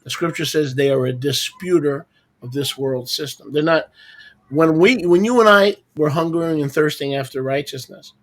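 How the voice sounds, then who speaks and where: A man's voice: on the high side, normal speed. A man hosting an informal religious teaching over an online video call, explaining didactically and repeating key words for emphasis.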